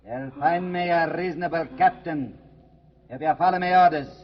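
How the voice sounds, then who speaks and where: An actor voicing the gloating, menacing ghost of an old Scottish pirate captain in a theatrical vintage radio drama style. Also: male voice, a little high, moderate pace, a little hiss or hum, close to an everyday voice. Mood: threatening, wrathful. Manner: theatrical, archaic seafaring speech. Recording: dull vintage radio recording with music behind.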